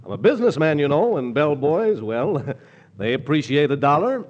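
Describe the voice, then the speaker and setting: Jealous blustering businessman, highish voice swooping widely, cocky, 1940s radio comedy. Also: very animated, normal speed, a little mellow, highs cut off. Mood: smug, threatening.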